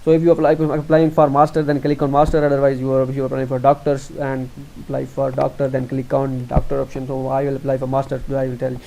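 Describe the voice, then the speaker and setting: A young man from Pakistan with a Pakistani accent, explaining an online form step by step, halting often with fillers and restarts. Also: mid-range voice, monotone, vocal fry, warm tone, poor audio. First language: Urdu. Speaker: tutorial presenter. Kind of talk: screen-recorded walkthrough.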